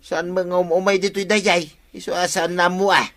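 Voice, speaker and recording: A man's voice: high voice, flat delivery, moderate pace, a bit bright, no room sound. A man playing a frank, chiding village buddy in an Ilocano comedy radio drama, speaking colloquially with a Filipino accent.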